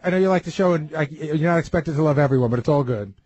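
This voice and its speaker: A man's voice: on the high side, normal speed, a little mellow, slightly rough, dull audio. A man hosting a radio talk show, chatting casually and explaining his views in a conciliatory way. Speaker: radio show host and producer.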